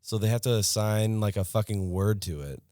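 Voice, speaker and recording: A man's voice: on the low side, moderate pace, no room sound, studio quality. A man co-hosting a podcast, talking bluntly with plenty of swearing in a casual rant. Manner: blunt, profane, animated ranting. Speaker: podcast co-host. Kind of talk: casual chat.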